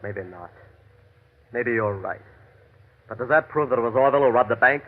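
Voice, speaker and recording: A man's voice: mid-range voice, normal speed, lightly acted. An actor playing a tough, defensive American gunman in classic radio drama style, talking in argumentative, menacing slang. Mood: bitter, argumentative. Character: tough, defensive gunman ex-convict posing as a friend. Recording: thin, tinny vintage radio recording.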